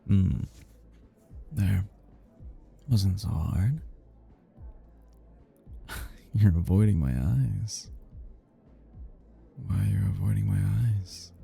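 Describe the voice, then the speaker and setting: A man playing a cocky, flirty, tipsy male best friend in an intimate romantic ASMR roleplay, teasing in a slow, breathy, gravelly murmur that drifts into whispers. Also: animated, mellow, soft background music, heavily acted. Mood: teasing, amused. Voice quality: breathy, husky, gravelly low voice.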